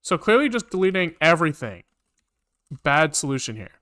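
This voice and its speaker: A man's voice: a little high, sing-song, a little fast, a bit bright, no room sound, clean studio sound. A man livestreaming some programming, casually thinking aloud, muttering to himself, repeating phrases and swearing now and then. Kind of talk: casual live coding commentary, thinking aloud. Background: computer keyboard typing and clicking.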